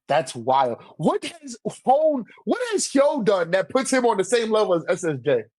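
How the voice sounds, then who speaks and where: A man's voice: high-pitched, very animated, normal speed, darkish tone, dry recording. A man in a casual, argumentative debate, talking animatedly with heavy slang and swearing. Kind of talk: casual slangy debate about manga rankings.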